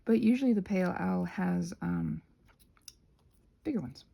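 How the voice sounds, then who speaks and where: A woman hosting a rambling craft ASMR chat with a husky voice, in an expressive, tentative, self-deprecating way that meanders. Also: lowish voice, a little slow, slight background noise. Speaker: channel host.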